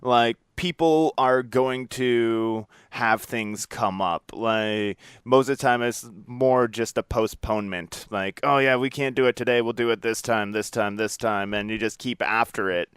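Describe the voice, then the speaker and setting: A man's voice: mid-range voice, unhurried, few pauses, fairly bright tone, clean tone, dry recording, clean studio sound. A man hosting a podcast in a casual, informal interview style, with an easygoing, rambling way of talking. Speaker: podcast host.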